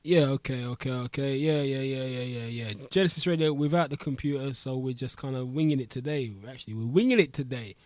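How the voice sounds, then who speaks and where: A man hosting a community radio talk show from a dry, close studio mic, his nose audibly blocked up. He rambles in loose street slang, mid-pitched and relaxed. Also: a little fast, few pauses, no echo. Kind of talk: casual radio chat.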